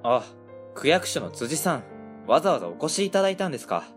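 Woman playing a soft-hearted middle-aged factory owner, Kansai accent, deep voice, swings up and down a lot. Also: big pitch swings, fast talker, soft background music. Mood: hesitant. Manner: gentle, humble, easily moved. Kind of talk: amateur radio drama acting.

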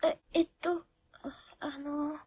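A woman playing a timid, apologetic young office worker in a radio drama, quiet and hesitant. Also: high-pitched, flat delivery, slow, a little hiss or hum. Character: timid, apologetic soft-spoken female junior office worker. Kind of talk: comedic web radio drama acting.

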